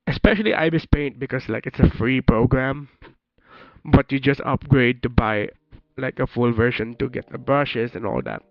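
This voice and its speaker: Unhurried Filipino man in his twenties. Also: medium pitch, vocal fry. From Philippines. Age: 20s. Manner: laughs often, teasing and jokingly profane. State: slightly sick. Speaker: streamer. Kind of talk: casual chat with viewers. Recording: dull lo-fi stream audio with faint music.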